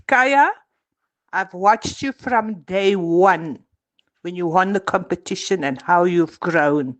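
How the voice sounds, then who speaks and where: Woman leaving a slow voicemail blessing. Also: fairly low pitch. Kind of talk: voicemail message.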